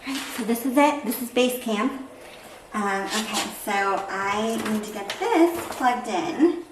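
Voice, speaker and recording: A woman's voice: a little high, lively intonation, unhurried. Woman vlogging in an echoey room. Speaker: home cooking and farm vlog host. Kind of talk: casual vlog chat while demonstrating. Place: indoors at home, kitchen. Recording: echoey room with background noise.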